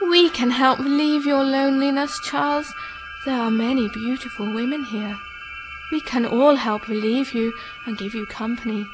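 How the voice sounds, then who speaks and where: Radio drama: serene moon spirit woman, played by an actress, high register, softly coaxing and alluring, light music underneath. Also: steady tone, natural voice, poor audio. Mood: gently coaxing. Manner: gentle, coaxing, unhurried. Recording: music behind the voice.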